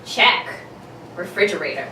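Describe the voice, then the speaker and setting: A woman playing an efficient, skeptical young secretary in an old-time radio play, brisk and matter-of-fact. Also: fairly low pitch, big pitch swings, bright, forceful tone, exaggerated voice. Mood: efficient, dry. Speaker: amateur radio play performer. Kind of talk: 1940s radio play read for laughs. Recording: distant mic, echoey room, faint noise.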